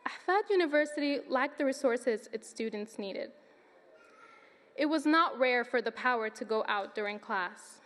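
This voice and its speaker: A nineteen-year-old woman from Sudan, giving an earnest, measured student speech at a graduation ceremony. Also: high-pitched, fairly bright tone. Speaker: student commencement speaker. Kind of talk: prepared speech. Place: large hall. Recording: long reverb of a large hall.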